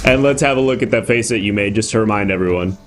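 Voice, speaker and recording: A man hosting a live comedy show, briskly steering guests and callers. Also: on the low side, noisy background. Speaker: host.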